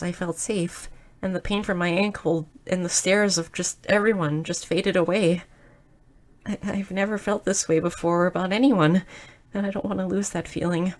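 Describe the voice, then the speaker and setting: A woman's voice: on the low side, lightly acted. Woman playing a shy, stammering young goblin girl. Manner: stammering, hesitant, earnest. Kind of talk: audio roleplay acting, intimate romantic. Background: music.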